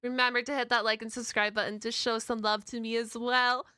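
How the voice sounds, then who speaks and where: A woman's voice: a little high, little pitch movement, fairly quick, sharp tone, clean tone, no room sound. A woman giving casual, slangy, gushing reaction commentary as a video host.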